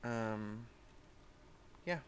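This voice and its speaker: A man's voice: fairly low pitch, animated, very slow, slight background noise. Young british man hosting a train game, rambling and chatty. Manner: rambling and chatty, apologetic asides. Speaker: gaming channel host. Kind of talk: casual train-sim let's-play commentary.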